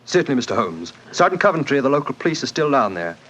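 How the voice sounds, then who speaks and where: An actor playing a proud, blunt, touchy wealthy man in a 1940s radio drama, speaking in forceful, clipped phrases. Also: medium pitch, big pitch swings, quick pace. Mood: grave, explanatory. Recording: muffled 1940s radio recording.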